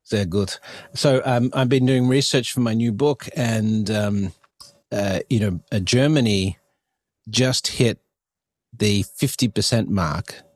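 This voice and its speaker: A man's voice: on the low side, slow, no echo. A man hosting an interview podcast in a conversational, discursive way. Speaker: podcast host.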